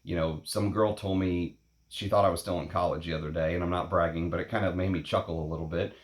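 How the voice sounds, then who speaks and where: A man in his late thirties hosting a perfume vlog, talking casually in a rambling, opinionated way, blunt and quick to swear. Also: low voice, steady tone. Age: 30s. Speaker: perfume channel host. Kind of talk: casual unscripted vlog talk. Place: at home in Texas.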